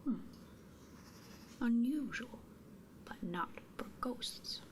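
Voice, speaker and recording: A noticeable noise bed sits under a woman's close, breathy whisper. She speaks very slowly, playing a gently inquisitive service technician in an ASMR roleplay, with soft murmured hums. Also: on the high side, expressive. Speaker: ASMR performer.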